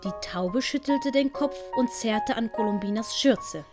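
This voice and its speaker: A woman's voice: on the high side, a little slow. A woman with a Swiss accent reading scripted audiobook narration as the storyteller. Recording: background music.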